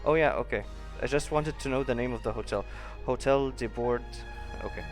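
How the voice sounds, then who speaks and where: A man gives casual playthrough commentary in a medium-pitched voice. He rambles and thinks aloud as a streamer does, with faint game music behind him. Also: fairly quick.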